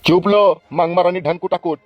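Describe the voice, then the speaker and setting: Casting: male actor as blustering, threatening politician for comic cartoon, highish pitch, very fast, dry lo-fi sound. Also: fairly even intonation, dry recording, natural voice. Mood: angry, blustering. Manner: scolding, cursing, blustering. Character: angry foul-mouthed male village politician, bullying and threatening. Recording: dull lo-fi, music behind.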